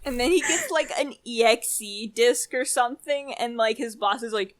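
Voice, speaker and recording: A woman's voice: fairly high pitch, moderate pace, no room sound. A young adult woman chatting casually as a podcast co-host, telling stories in an amused, slangy, informal way. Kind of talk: casual chat.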